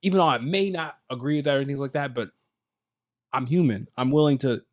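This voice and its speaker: A man's voice: medium pitch, a little fast. Candid American man chatting on a podcast. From United States. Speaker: podcast host. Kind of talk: casual podcast chat.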